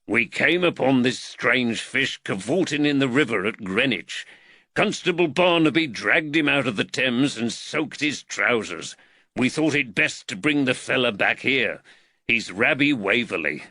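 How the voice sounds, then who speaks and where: A man voicing a hearty, calm working-class constable in an audiobook, colloquial and blunt. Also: mid-range voice, dry recording, heavily acted. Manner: colloquial, unhurried, plain-spoken. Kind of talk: audiobook character dialogue.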